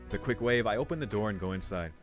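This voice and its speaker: A man streaming a game, casually commenting and reading text aloud, thinking out loud as he goes. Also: on the low side, fast talker. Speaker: let's play streamer. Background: game music.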